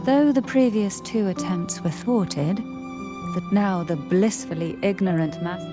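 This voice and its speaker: A lowish female voice from a fantasy game cutscene, the grave lady of a castle who measures every formal word and guards her secrets, score swelling behind her. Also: slow, music in the background. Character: poised, secretive noblewoman, grave and calculating. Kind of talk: video game cutscene acting.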